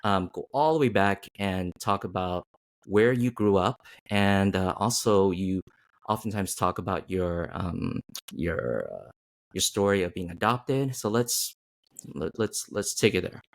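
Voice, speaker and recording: A man hosts an interview in a very deep, low voice. He feels his way through his questions, restarting phrases and leaning on fillers as he goes. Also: lively intonation, gravelly. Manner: halting, searching phrasing with many fillers. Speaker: host. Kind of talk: interview hosting.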